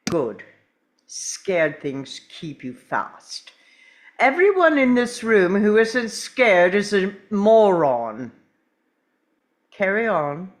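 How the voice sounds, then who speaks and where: A woman's voice: mid-range voice, very animated, extremely slow. A woman reading an eccentric, teasing male time traveller at a script table read. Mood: teasing, breezy.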